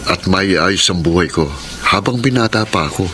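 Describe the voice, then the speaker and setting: Radio drama part: hopeful young bachelor, gentle, lowish voice, slight background noise. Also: male voice, normal speed. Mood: sincere. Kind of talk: Filipino radio drama acting.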